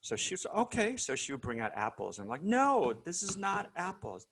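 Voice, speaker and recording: A man's voice: sits high, huge pitch swings, normal speed, vocal fry, a bit bright, slight background noise. A man from South Korea being interviewed over a video call, telling stories casually with many fillers and restarts. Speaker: guest musician.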